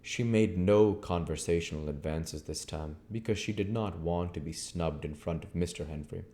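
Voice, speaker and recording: A man with a low-pitched voice narrates a story from a book. He reads aloud as an amateur audiobook reader, in the register of storytelling. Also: normal speed, dark tone.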